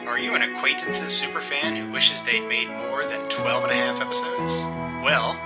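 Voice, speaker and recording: A man announces in a scripted credits style with a medium-pitched voice. Loud music plays behind him throughout. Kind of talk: scripted narration of credits and promo.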